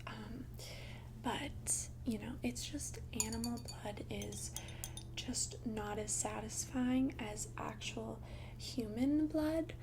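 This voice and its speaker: Woman, breathy whisper, very unhurried, creaky edges, ASMR roleplay, shy polite vampire, gentle and hesitant. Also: a little high, heavy background noise. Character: shy, polite thousand-year-old female vampire asking someone out.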